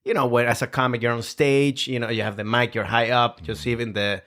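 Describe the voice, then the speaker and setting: A man's voice: mid-range voice, steady tone, fairly quick, fairly bright tone. A man who grew up in Venezuela, a comedian guest talking casually with many fillers and restarts. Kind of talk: casual chat.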